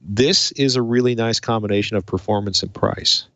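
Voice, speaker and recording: A man's voice: on the low side, normal speed, fairly bright tone, dry recording, lo-fi sound. A man hosting a tech podcast, talking through hardware and reading in a presenter's way. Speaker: podcast host. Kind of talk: tech podcast talk and host-read sponsor spots.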